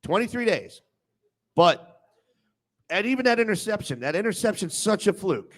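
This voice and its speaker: A man's voice: sits high, big pitch swings, moderate pace, fairly bright tone. A man hosting a casual sports talk show, speaking in an opinionated, emphatic way and cutting in on banter.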